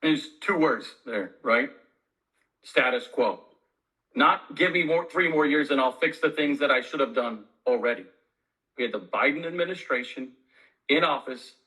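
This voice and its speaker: Forceful man making a campaign pitch. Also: rough recording. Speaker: union leadership candidate. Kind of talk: campaign speech to union members.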